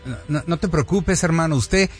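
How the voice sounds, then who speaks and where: A man co-hosting a sports radio show, bantering and joking in an animated, teasing way. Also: fairly high pitch, moderate pace, slightly rough, soft background music.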